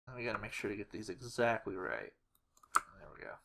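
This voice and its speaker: Someone giving an informal editing walkthrough, talking haltingly and muttering to themselves while working. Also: low voice, sing-song, gravelly. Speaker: tutorial creator. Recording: noisy home recording, keyboard and clicks. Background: mouse clicks and keyboard typing.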